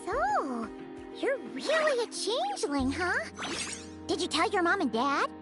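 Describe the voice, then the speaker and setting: A woman voicing a small, childlike, curious and excitable fairy companion in a video game. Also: very high voice, unhurried, music in the background, full character voice. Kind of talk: video game character dialogue.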